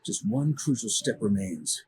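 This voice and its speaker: An actor playing a seasoned, confident expedition commander in a fantasy game, speaking in an assured, measured way. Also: male voice, on the low side, animated, a little mellow, breathy edge, exaggerated voice. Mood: grave, assured. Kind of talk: fantasy video game dialogue acting.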